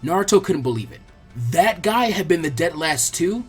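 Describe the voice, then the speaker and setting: A husky man narrates a story with plenty of movement in his pitch. It is expressive audiobook storytelling, with music behind him. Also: moderate pace. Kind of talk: scripted fan fiction narration, expressive storytelling.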